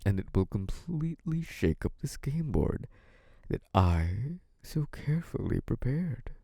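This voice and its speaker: An actor playing a possessive, scheming young mafia boss in a theatrical, condescending, menacing monologue. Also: male voice, mid-range voice, big pitch swings, gravelly, no room sound, studio quality, full character voice. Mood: gloating. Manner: menacing, condescending, theatrically drawn-out delivery with dark chuckles. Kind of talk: theatrical scripted audio roleplay monologue.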